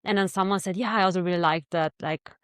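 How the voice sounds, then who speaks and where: A woman co-hosting a podcast, telling stories in animated casual talk with many fillers. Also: lowish voice, fast, clear, resonant tone, no echo, clean studio sound. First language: German. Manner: animated storyteller, laughs easily, many fillers. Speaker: podcast co-host. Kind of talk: casual chat.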